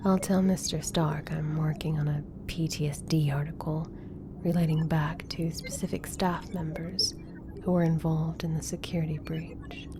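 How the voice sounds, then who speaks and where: A woman playing an intelligent, composed scientist in an ASMR roleplay, speaking in a measured, formal, clinical way. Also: low voice, little pitch movement, background noise. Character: intelligent, composed female government scientist doctor.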